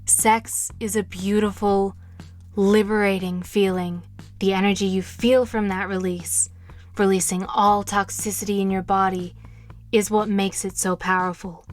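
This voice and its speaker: An actress playing a confident, tender witch who mentors with soothing, measured words in an audio drama. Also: medium pitch, level tone, lightly acted. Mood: seductive, impassioned. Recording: music playing behind. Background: music.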